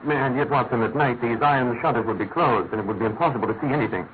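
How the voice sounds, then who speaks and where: An actor playing a brisk, authoritative British private detective with a clipped, questioning manner in a classic radio drama. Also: male voice, medium pitch, close to an everyday voice. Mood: brisk, keen. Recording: noisy, narrow-band old radio recording.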